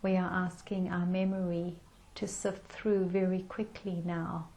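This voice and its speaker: A woman leading a guided meditation in a gentle, soothing manner with unhurried pauses. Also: fairly low pitch, little pitch movement.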